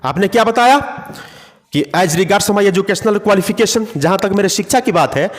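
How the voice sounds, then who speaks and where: A man teaching English phrases in a classroom lesson, slowly repeating them word by word. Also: high-pitched, animated, big-room sound.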